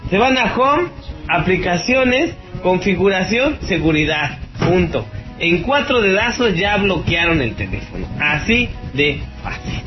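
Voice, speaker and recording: A man's voice: high voice, very animated, unhurried. A man with a Mexican accent hosting a radio show, giving an informal tech-advice monologue in a colloquial, mocking, teasing manner. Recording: dull lo-fi radio stream over loud music. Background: music.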